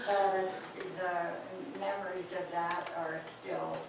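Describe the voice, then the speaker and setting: An older woman telling family memories to a small group in a hesitant, reminiscing way, with many pauses and fillers. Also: on the low side, flat delivery. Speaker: speaker recalling family memories. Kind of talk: reminiscing oral history talk. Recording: echoey room, distant mic, noisy.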